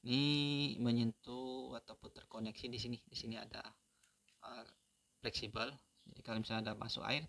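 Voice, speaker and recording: A man giving an unhurried, step-by-step phone repair tutorial, pausing often with fillers. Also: on the low side, creaky, fairly bright tone.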